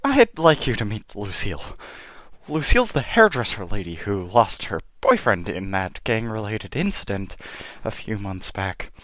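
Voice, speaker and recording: An awkward middle-aged dad acted by a man in a comic dub, easily flustered. His voice is on the low side with lively swings in pitch, recorded dry. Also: moderate pace, no echo.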